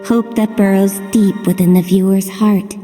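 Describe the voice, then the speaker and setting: An actress playing a solemn, enigmatic seer in a video game, measured and grave, with reverb and music. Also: female voice, medium pitch, flat delivery, close to an everyday voice. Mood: solemn, mysterious. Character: solemn, enigmatic woman seer explaining fate. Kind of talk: dramatic video game acting. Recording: long reverb, loud music underneath.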